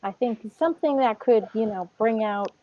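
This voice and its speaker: A woman playing in an online tabletop game, making tentative, slow-building suggestions. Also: fairly high pitch, dark tone, dry recording. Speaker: player. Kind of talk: casual tabletop game chat. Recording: dry, dull online call audio.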